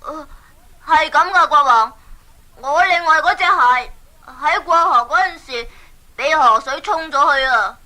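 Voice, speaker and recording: A woman's voice: sits high, measured pace, sharp tone, rough recording. A woman playing an earnest, plucky boy adventurer in a Cantonese children's radio drama, with a Hong Kong accent and a wide-eyed, exclamatory delivery. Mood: respectful, eager.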